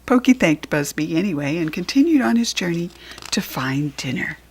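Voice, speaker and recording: A woman with an American accent reading a children's story aloud in an expressive, deliberate storytelling style. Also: lowish voice, breathy edge. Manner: animated, deliberate storytelling emphasis.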